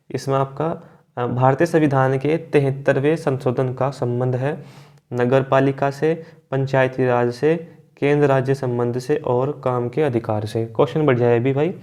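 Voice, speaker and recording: A man's voice: medium pitch, darkish tone. Man teaching exam quiz. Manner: instructive, addressing students, repeats answers and catchphrases. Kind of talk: quiz lesson, reading out questions and answers.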